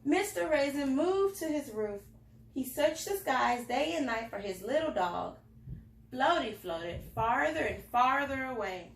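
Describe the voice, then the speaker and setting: A woman with a high-pitched, very lively voice reads a children's picture book aloud. She is expressive and pauses for small asides to young listeners. The sound is roomy and echoing. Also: gravelly, a little hiss or hum. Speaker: library storytime reader.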